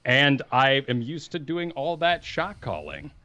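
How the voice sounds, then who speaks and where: A man co-hosting a gaming podcast, talking energetically and assertively in a deep voice into a studio microphone. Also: big pitch swings, measured pace, pushed and bright, no echo, lo-fi sound. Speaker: podcast co-host. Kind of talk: casual gaming podcast talk.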